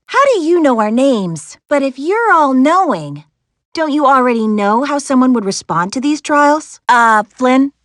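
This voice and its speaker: Spirited, high-voiced young American adventurer. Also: female voice, fairly bright tone, dry recording, clean studio sound. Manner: wry, sassy, instructive. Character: spirited, capable young woman adventurer, wry and encouraging. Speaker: video game character voice actress. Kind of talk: animated video game voice lines.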